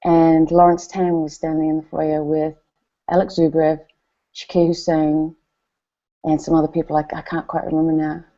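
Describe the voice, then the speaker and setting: A woman's voice: low-pitched, normal speed, highs cut off. A woman from New Zealand with a New Zealand accent gives a motivational speech at a company event, telling stories and acting out what others said. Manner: animated storytelling with quoted voices.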